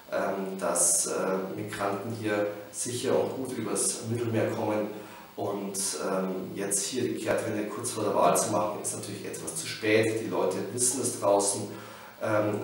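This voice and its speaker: A man's voice: on the low side, recorded from a distance, slight background noise. Echoey-roomed man giving a political update. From Bavaria, Germany. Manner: hesitant, many filler sounds, combative. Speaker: regional politician. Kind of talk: weekly political video update. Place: small indoor room before a backdrop. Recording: echoey room, mic set back.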